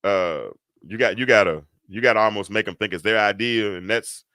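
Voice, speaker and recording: A middle-aged man hosting a talk show, telling a parenting story with a deep, stentorious voice and emphatic repetition. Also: a little fast, pushed and bright, no echo. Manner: emphatic, repeats words for effect, folksy asides. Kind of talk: casual storytelling to an audience.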